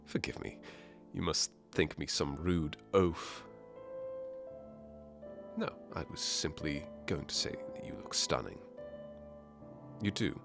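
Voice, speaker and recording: A man's voice: extremely low pitch, expressive, takes it slowly, gravelly, long pauses, music in the background, heavily acted. A man playing a charming, teasing masked nobleman in a romantic ASMR fairytale roleplay, suave and playful with courtly phrasing. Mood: tender, admiring.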